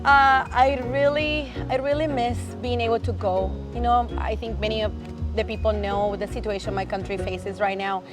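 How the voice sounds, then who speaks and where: A woman's voice: on the high side, fairly even intonation, normal speed, music in the background. A woman in her fifties from Venezuela, a native Spanish speaker, talking casually as an interview guest.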